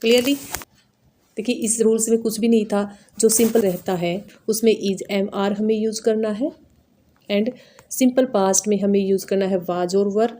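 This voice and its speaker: A woman's voice: mid-range voice, steady tone, a little slow, mellow, slight background noise. Indian woman teaching step by step. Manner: instructional, repetitive, checking understanding with short tags. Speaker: English grammar teacher. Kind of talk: explanatory grammar lesson, step by step.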